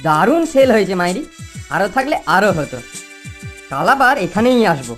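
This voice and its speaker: A woman voicing a cheeky, enterprising schoolboy in a children's cartoon, with brisk salesman's patter. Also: mid-range voice, big pitch swings, natural voice. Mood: pleased. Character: cheeky enterprising schoolboy sidekick selling tonic. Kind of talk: exaggerated children's cartoon acting. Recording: music behind the voice.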